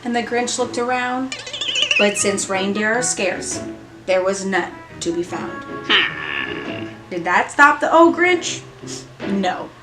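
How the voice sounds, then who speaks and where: A woman's voice: medium pitch, lively intonation. A woman reading a children's story aloud expressively, with dramatic emphasis. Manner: dramatic emphasis and drawn-out repetition. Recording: echoey room, music and effects behind. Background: music and sound effects from an app.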